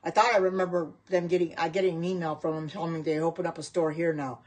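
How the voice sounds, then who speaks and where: A woman's voice: low voice, flat delivery, fast talker. A middle-aged woman from the United States, chatting casually in her home kitchen on a livestream, rambling and answering viewers' comments. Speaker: home cook and vegan livestreamer.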